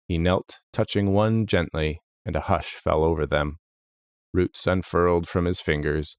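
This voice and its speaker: A man narrating a scripted fantasy story in a solemn, dramatic, measured manner. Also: extremely low pitch, clear, resonant tone, no echo, clean studio sound.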